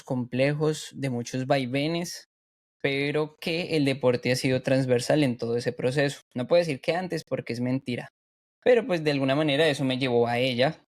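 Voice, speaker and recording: A man from Bogotá, introducing himself as a podcast guest in a measured, introspective way. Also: medium pitch, no echo, clean studio sound. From Bogotá, Colombia.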